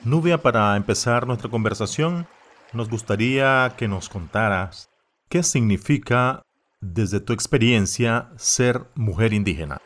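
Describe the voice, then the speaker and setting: A man's voice: medium pitch, unhurried, fairly bright tone. A man hosting a podcast, introducing and questioning his guest in a formal presenter tone. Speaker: podcast host. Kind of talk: interview host introduction. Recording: music bed behind the voice.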